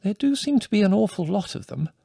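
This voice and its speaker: A prim, flustered middle-aged mother who scolds and fusses in a raised, lively voice, as a male narrator puts her on. The audiobook sound is dry and close. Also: sits high, quick pace, vocal fry, no echo, voice pushed up, exaggerated voice. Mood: fussing.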